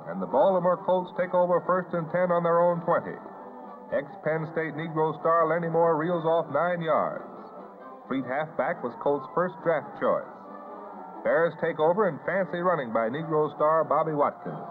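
An American man narrating a 1950s newsreel in a polished, scripted announcer style, on an old film soundtrack. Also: on the high side. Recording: tinny band-limited 1950s newsreel soundtrack. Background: newsreel music.

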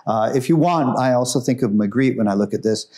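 A man giving an informal, enthusiastic talk about artworks in a museum room, growing hushed and solemn at the tragic pieces. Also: medium pitch, a little fast. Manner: enthusiastic, conversational, drops his voice for solemn works. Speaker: museum guide presenting artworks. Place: museum gallery room, indoors.

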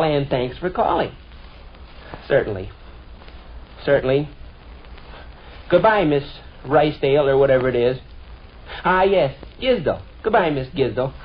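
An American man playing an indignant fourteen-year-old boy, mock-formal and exasperated, in 1940s radio comedy style. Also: a little high. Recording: dull, muffled 1940s radio recording.

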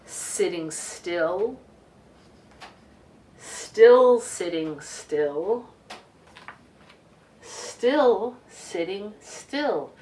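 Middle-aged lady doing storytime for kids, reads slow and expressive, bit far from the mic in an echoey room. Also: mid-range voice, a little hiss or hum. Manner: expressive, deliberate read-aloud with emphasized words. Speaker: children's storytime reader. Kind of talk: reading a picture book aloud to children. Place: living room couch, indoors. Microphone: camera a few feet away. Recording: roomy home recording, distant microphone.

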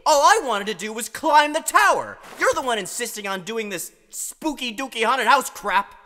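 A man's voice: very high-pitched, animated, moderate pace, pushed and bright, exaggerated voice. A man voicing a small, impatient, sarcastic fire-lizard monster in a cartoon parody, blunt and exasperated. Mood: defiant, angry. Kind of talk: animated cartoon parody acting. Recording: long reverb, music underneath.

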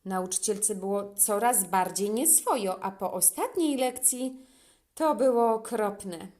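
A woman's voice: medium pitch, takes it slowly, a little mellow. A woman narrating a scripted children's story as a storyteller.

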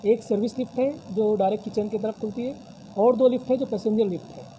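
Man, press conference, very dark tone, formal promotional talk, faint hiss. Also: sits high, steady tone, fast, rough recording. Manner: formal, promotional, frequent religious phrases. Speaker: convention hall representative. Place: decorated hall at a press table. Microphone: many news mics on a table.

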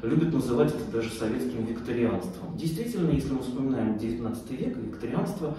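Echoey, halting middle-aged man lecturing. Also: medium pitch, animated, mellow. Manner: hesitant, halting, searching for words. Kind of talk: informal public lecture, talking off the cuff. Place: small indoor lecture room, far camera. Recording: echoey room, distant mic, faint noise.

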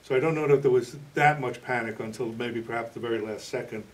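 Guy in his 60s on a tv interview, kinda halting explainer, mic a bit far off. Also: medium pitch. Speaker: interview guest, playwright. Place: indoor TV studio, green screen.

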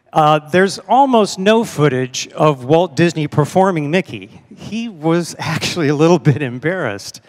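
A man in his sixties talks informally to a hall. His voice is fairly high and very animated, with long reverb from the room. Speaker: panel speaker, division founder. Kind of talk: informal stage talk. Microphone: handheld mic.

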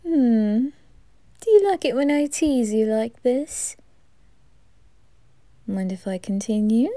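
Woman playing a campy flirt of a male reaper, slow, giggly, warm-toned. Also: on the high side, expressive, no room sound. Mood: teasing. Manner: teasing, giggling, breathy whispers. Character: flamboyant flirtatious male reaper posing as butler. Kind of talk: ASMR roleplay.

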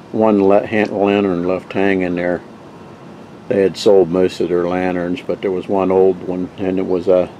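A man casually telling the story of the old camping gear he found, rambling with frequent pauses and restarts. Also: low-pitched, mellow, highs cut off, a little hiss or hum. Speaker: vintage camping gear collector showing finds. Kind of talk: casual show-and-tell narration.

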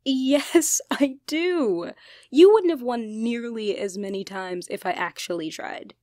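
A woman playing an expressive young genderfluid best friend in a conversational ASMR roleplay. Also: on the high side, takes it slowly, dry recording, studio quality. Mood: playful, teasing. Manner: teasing, casual, expressive, apologetic. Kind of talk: ASMR roleplay, one-sided conversational acting.

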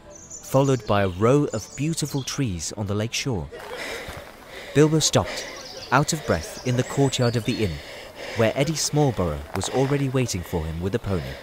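A man narrating a scripted fantasy story as a storybook narrator. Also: fairly low pitch.

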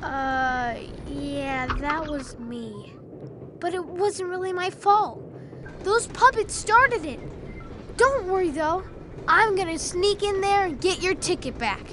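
A woman's voice: very high-pitched, slow, noisy background. A woman voicing a brave, earnest young boy in a video game, eager and reassuring. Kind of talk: video game cutscene acting.

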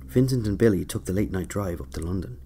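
A man's voice: deep voice, expressive, quick pace. A man narrating a scripted autobiographical audio drama. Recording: prominent music under narration.

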